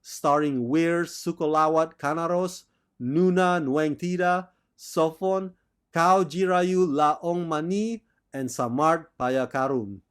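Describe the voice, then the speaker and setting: A male film reviewer talks through a movie in a measured, enthusiastic monologue. His voice sits a little on the high side, and he speaks slowly, letting each phrase land. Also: clean tone. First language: not Thai.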